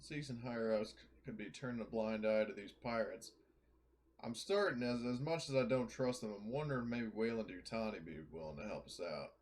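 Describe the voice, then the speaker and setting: A man's voice: fairly low pitch, brisk. A man playing a tabletop roleplaying game, talking casually in and out of character in a blunt, argumentative way.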